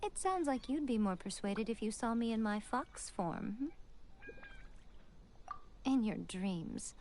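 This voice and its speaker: A woman voicing a sly, teasing fox-spirit woman in a video game, speaking in a playful, unhurried way. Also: on the high side, expressive, a little mellow, slight background noise. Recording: game audio with music playing behind.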